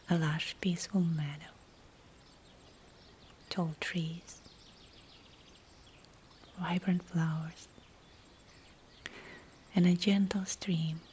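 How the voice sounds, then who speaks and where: A woman leads a guided visualization in a soft, breathy whisper. Her delivery is very slow and soothing, with a dark, mellow tone and hushed, spaced-out phrases. Faint nature ambience sits behind her voice. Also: lowish voice, a little hiss or hum. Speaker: meditation guide. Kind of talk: guided visualization meditation, scripted narration.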